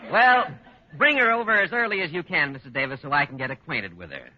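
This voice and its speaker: An actor playing a hearty, effusive department store boss in 1940s radio comedy. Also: male voice, fairly high pitch, lively intonation, fast talker, pushed and bright, dry recording, some chatter behind. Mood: jovial, brisk. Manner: effusive, salesmanlike. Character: hearty, eager male department store boss, smooth promoter. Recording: dull vintage radio recording.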